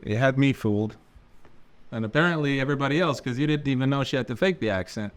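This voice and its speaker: A man co-hosting a podcast interview, firing off brisk, jokey questions. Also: medium pitch, clean tone. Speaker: podcast co-host.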